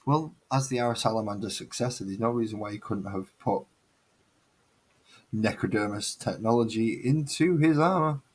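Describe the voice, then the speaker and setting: Husky low-voiced Mancunian man. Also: normal speed. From northern England. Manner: chatty, self-mocking, grumbling. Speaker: host. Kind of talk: casual hobby chat.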